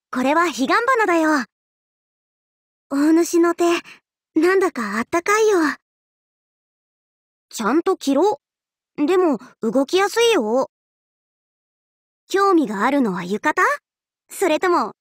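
A woman voicing a cheerful, teasing young festival-loving swordswoman in an anime mobile game, with a bubbly, playful, drawn-out delivery. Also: high-pitched, no room sound, clean studio sound. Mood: teasing, flustered.

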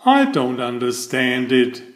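A man voicing a cheerful, polite, hapless cartoon creature from a picture book, given to high exclamations. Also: big pitch swings, slow, echoey room. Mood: puzzled, polite.

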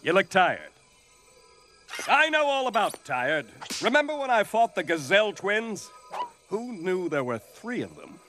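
Swooping, pompous cartoon superhero. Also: male voice, high-pitched, big pitch swings, measured pace, bright, forceful tone, faint music behind, heavily acted. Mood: heroic. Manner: grandiose, declamatory. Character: pompous antlered comic-book superhero man. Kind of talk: animated cartoon acting.

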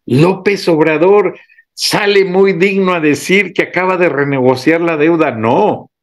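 Slow, indignant middle-aged male commentator. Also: on the high side, vocal fry. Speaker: political commentator. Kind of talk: indignant political commentary, reading legal text aloud.

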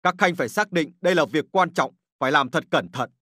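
A man voicing a dignified, exacting but gracious emperor in a historical cartoon, formal and deliberate in his authority. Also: a little high, pushed and bright, dry recording, studio quality. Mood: stately.

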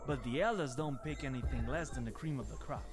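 A man's voice: mid-range voice, fast, close to an everyday voice. An actor playing a cocky, streetwise male vampire gangster in a video game, blunt, slangy and sardonic. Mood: cynical, assured. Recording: music behind the voice.

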